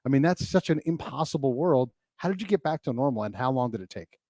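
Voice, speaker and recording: Man, interview host, relaxed warm voice, animated questions, dry close sound. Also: mid-range voice, fast talker, no echo. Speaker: podcast host. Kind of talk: interview conversation.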